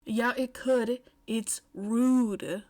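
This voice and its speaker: A woman's voice: fairly high pitch, fairly even intonation, very slow, a little mellow. A woman voicing a principled teenage boy who scolds firmly, exasperated, in a story reading. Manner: firm, scolding. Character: exasperated, insistent, principled teenage schoolboy classmate.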